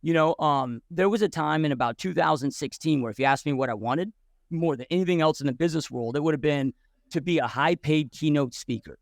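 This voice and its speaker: A man's voice: fairly high pitch, brisk, vocal fry, no room sound, studio quality. A man in his forties, a podcast guest who tells his story bluntly and casually, swearing freely with many restarts and "dude"s.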